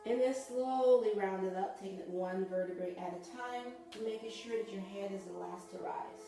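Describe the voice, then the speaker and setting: Gravelly-voiced woman guiding a yoga class. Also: mid-range voice, normal speed, roomy sound, music in the background. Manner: encouraging, conversational with frequent okays. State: sniffling after nose surgery. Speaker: yoga instructor. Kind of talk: guided yoga instruction.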